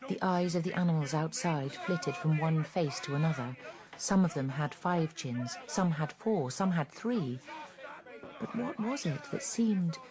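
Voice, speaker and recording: A man narrating a scripted radio drama in a measured, literary storytelling manner. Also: a little high, lively intonation. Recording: shouting crowd behind.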